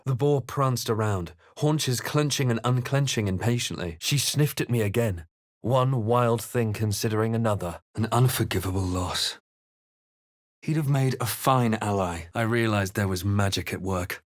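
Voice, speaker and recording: An actor playing a brooding fantasy adventurer in a game, narrating his quest in a grave, measured, deliberate way. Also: on the low side, no echo, studio quality, natural voice. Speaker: video game player-character voice. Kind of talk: dramatic first-person game narration.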